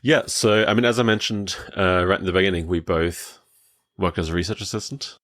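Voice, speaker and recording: A man's voice: low-pitched, brisk, fairly bright tone. A man hosting a podcast interview, asking questions and sharing his own story, with many fillers and hesitations. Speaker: podcast host. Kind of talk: casual interview talk.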